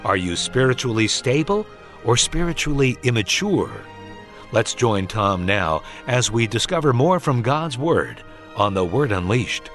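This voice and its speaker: A man's voice: mid-range voice, very animated, a little slow, a bit bright, faint music behind. A man introducing a religious radio program in a polished, scripted announcer delivery with rhetorical questions.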